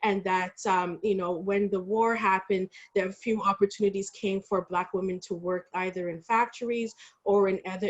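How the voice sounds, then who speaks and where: Woman giving a halting explanatory presentation. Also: on the low side. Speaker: panelist presenting historical artifacts.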